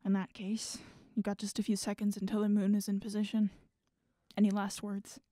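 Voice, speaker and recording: A woman's voice: mid-range voice, completely flat delivery, moderate pace, dark tone, no room sound, studio quality, lightly acted. A young woman acting in an audio drama as a guarded, wry character with an understated delivery. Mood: stern. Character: guarded, wry young adult hiding old love.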